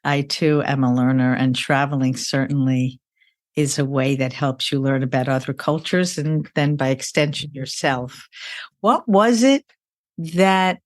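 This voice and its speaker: A woman's voice: extremely low pitch, unhurried. A woman hosting an interview podcast, friendly and encouraging toward her guest. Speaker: podcast host, financial planner.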